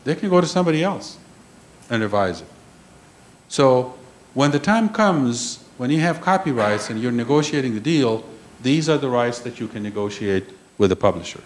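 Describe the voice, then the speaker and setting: A man's voice: medium pitch, animated, normal speed, slight background noise. Male panel speaker, emphatic lecturing delivery, mid-range voice. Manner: emphatic, repeats key phrases, checks in with "okay?". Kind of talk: lecture on intellectual property. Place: conference room.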